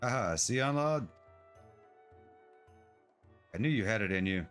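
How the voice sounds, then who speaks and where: A man streaming a game, reading its dialogue and on-screen text aloud in a plain, unhurried way. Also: fairly low pitch, animated, fairly bright tone, smooth, faint music behind.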